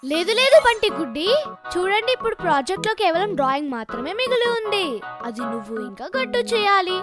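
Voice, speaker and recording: A woman voicing a sweet, eager little girl in a children's cartoon, gushing and excitable. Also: very high-pitched, quick pace, over loud music. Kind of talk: animated children's story dubbing.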